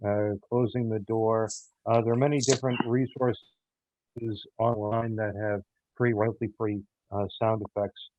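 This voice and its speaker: Over a narrow, phone-like connection, a lower-voiced man talks in a casual interview. He is halting and hesitant, breaking his phrases up with many ums. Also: level tone, slight background noise. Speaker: audio theater producer and guest. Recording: muffled, phone-like narrow sound.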